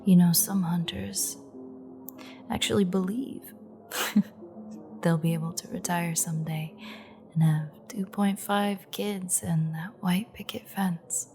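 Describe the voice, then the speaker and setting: A woman's voice: on the low side, fairly even intonation, takes it slowly, long pauses, a little mellow, soft background music. A woman playing a sardonic, threatening female vampire with a secret fondness, in a wry, teasing, half-whispered audio roleplay. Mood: wry, wistful. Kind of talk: intimate audio roleplay acting.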